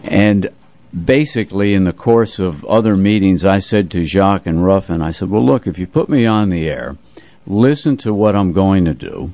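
Man in his seventies, low pitch, dark warm tone, dry sound, conversational radio reminiscing. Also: animated, normal speed, dry recording. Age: 70s. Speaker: radio music show host.

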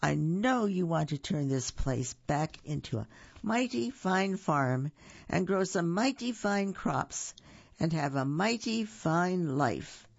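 Reader doing a sly fox salesman, high and excited, gravelly edge, dull lo-fi sound. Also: slow, full character voice. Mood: coaxing.

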